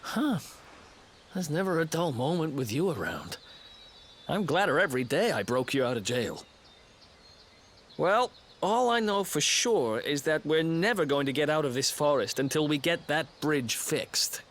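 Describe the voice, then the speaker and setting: A man's voice: on the high side, lively intonation, measured pace, fairly bright tone, slight background noise. A man playing a cheeky, streetwise young adventurer in a video game, with a casual, teasing, wry manner. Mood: baffled, wry. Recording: game music behind.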